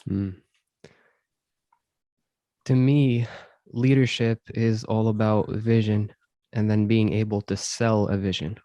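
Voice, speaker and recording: A man from Syria, host of a self-improvement podcast, answering interview questions in a thoughtful, measured way with frequent ums. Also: fairly low pitch, fairly even intonation, mellow, dry recording, lo-fi sound. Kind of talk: reflective conversational interview.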